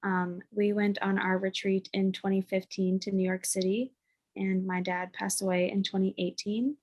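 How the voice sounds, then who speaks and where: Flat-voiced young woman in her twenties. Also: mid-range voice, moderate pace. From South Dakota, US. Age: 20s. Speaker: panel guest.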